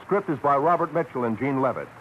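A man's voice: medium pitch, expressive, fast talker. An American man reading scripted credits as a 1940s radio announcer. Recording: muffled, hissy vintage radio recording.

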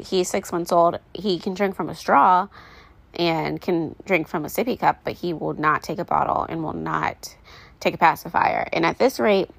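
A woman's voice: lowish voice, vocal fry, dry recording. A woman in her late twenties, chatting casually and rambling to her viewers about motherhood, with lots of fillers. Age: late 20s. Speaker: vlogger. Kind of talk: casual chat to camera.

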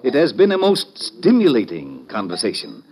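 A pompous professor from an old radio play. He is evasive and long-winded, choosing flowery formal words, and his pitch swings widely around a middle male register. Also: wildly swooping pitch, moderate pace, darkish tone. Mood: smug, courtly. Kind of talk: 1940s-50s radio drama character acting.

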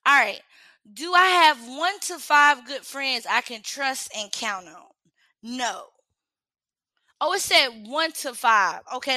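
Slow, bright-voiced young woman, casual livestream host, dry close sound. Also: high-pitched, no room sound. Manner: chatty, reads questions aloud and answers them frankly. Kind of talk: casual livestream self-reflection.

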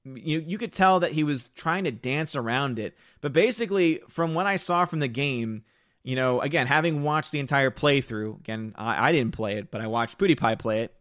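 A man live-streaming, reading chat comments aloud and rambling in casual talk, slightly hoarse from losing his voice. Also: medium pitch, moderate pace, a bit bright, no room sound, clean studio sound.

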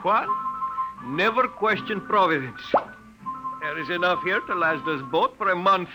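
An actor playing an excitable, opportunistic Mexican hotel manager, a man, in theatrical 1950s radio drama style. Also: sits high, a little slow, low-quality recording. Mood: contented. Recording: old radio recording with music.

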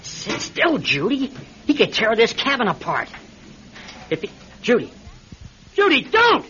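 A man's voice: very high voice, wildly swooping pitch, measured pace, full character voice. An American man acting in a radio drama as an injured, practical, defensive pilot stranded after a crash. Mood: alarmed. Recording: dull, noisy old radio recording.

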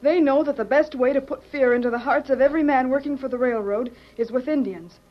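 A woman's voice: high voice, fast, close to an everyday voice. A woman playing an earnest, worried secretary in an old-time radio western, speaking urgently and precisely. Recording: muffled, hissy vintage radio recording.

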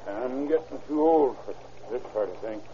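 A man's voice: mid-range voice, lively intonation, normal speed, warm tone, natural voice. An actor playing a weary, strained man in an old-time radio crime drama. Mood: weary, grumbling. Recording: muffled, very noisy old radio recording.

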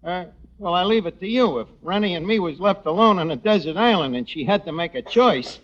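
A man playing a vain, slow-witted New York tavern manager in 1940s radio comedy, wisecracking and mangling his words. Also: high-pitched, lively intonation, fairly bright tone, dull audio. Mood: smug. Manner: wisecracking, malapropism-prone, ungrammatical. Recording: noisy old radio broadcast, studio audience.

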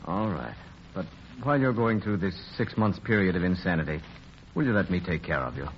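An actor playing a devoted, rejected suitor in a vintage radio drama, earnest, anxious and pleading. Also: male voice, low-pitched, animated, brisk. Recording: dull, faintly noisy old radio recording.

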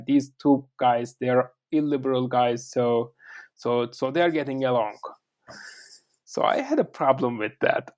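A man from Turkey, a native Turkish speaker with a Turkish accent, answering as an interview guest in a conversational, halting way that repeats words while searching for phrasing. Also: medium pitch, a little mellow. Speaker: interview guest, author and academic.

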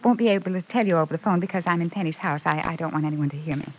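A woman's voice: on the low side, racing, natural voice, rough recording. A woman playing a pert, scheming young working woman in an old-time radio soap opera, coy and insinuating. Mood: furtive, insinuating. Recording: vintage radio recording.

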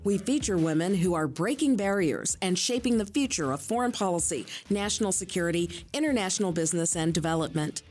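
A woman's voice: fairly low pitch, lively intonation, a little fast, hardly any pauses, darkish tone, soft background music. A woman hosting an interview podcast, moving between scripted introduction and questions to guests. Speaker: podcast host.

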